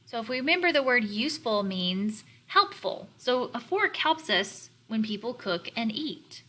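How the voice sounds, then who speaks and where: A woman teacher leading a read-aloud lesson for young children, explaining words in a deliberate, instructional way. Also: a little high, big pitch swings, pushed and bright. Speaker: elementary teacher.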